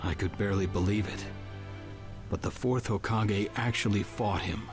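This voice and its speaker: An actor voicing a calm, level-headed adult ninja mentor in a video game dub, measured and laid-back. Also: male voice, fairly low pitch, natural voice. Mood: pensive. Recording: music under the dialogue.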